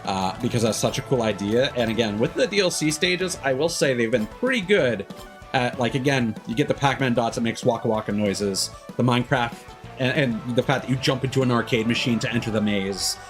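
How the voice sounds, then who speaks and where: A man casually commentating on a livestream, thinking aloud in a rambling way with hesitant pauses. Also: mid-range voice, soft background music. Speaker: game livestreamer.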